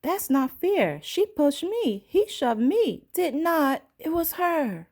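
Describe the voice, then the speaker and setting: Indignant storybook shoppers, acted out by a woman reader bicker over who is to blame. The voice jumps up high, protesting and accusatory. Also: big pitch swings, slow pace, darkish tone, exaggerated voice. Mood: indignant, accusing.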